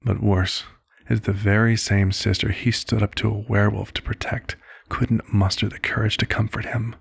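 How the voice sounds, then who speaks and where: A man acts out a compassionate vampire physician in an intimate ASMR roleplay. The voice sits low, with a gravelly creak and a husky edge. The delivery is formal, measured and hushed, like a weary father figure gently persuading. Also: heavily acted.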